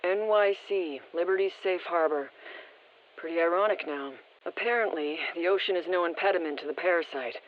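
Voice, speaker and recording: A woman's voice: fairly low pitch, fairly quick. Muffled radio voice of a military woman. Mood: matter-of-fact. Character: female field operative reporting intelligence over the radio. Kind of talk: scripted video game intel report. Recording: muffled, band-limited radio transmission.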